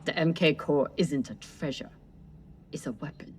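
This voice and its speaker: A woman's voice: low-pitched, normal speed, recorded from a distance, slight background noise, lightly acted. An actress playing a tough female ship captain in a video game, speaking in a terse, blunt way. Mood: grim.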